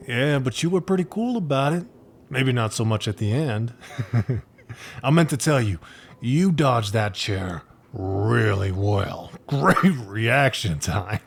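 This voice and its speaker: A drawling, goofy party buddy. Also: male voice, medium pitch, gravelly, breathy edge, full character voice. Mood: breezy, amused. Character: laid-back, goofy male party buddy, awkwardly casual.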